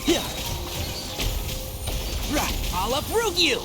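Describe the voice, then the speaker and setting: High-voiced, feisty game heroine. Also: female voice, big pitch swings, extremely slow, heavily acted. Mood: feisty, combative. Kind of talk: video game battle callouts. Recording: game audio under very loud music.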